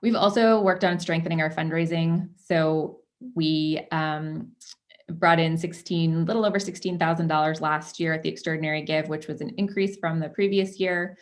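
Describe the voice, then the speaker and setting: Lower-voiced woman giving a presentation. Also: steady tone, moderate pace. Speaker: outgoing chair of a market board. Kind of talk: prepared presentation at a public meeting. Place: video conference call.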